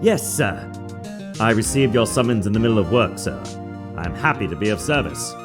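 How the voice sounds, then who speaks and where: A man's voice: fairly low pitch, very animated, normal speed. A man voicing a prim, obsequious head bellboy in a video game dub, overly formal and exact. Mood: servile. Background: music.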